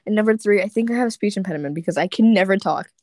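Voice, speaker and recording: A young woman hosting a casual group podcast with a teasing, blunt, excitable manner. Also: medium pitch, rapid-fire, no room sound, clean studio sound. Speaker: podcast host.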